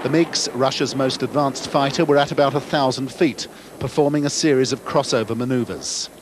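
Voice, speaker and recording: A man's voice: mid-range voice, normal speed. A British man narrating a scripted television news report as a reporter. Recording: archive TV report, noisy outdoor ambience.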